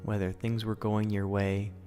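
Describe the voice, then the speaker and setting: A man leading a guided bedtime meditation as host, speaking in a soothing, gently instructive way with pauses between phrases. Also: lowish voice, completely flat delivery, faint music behind.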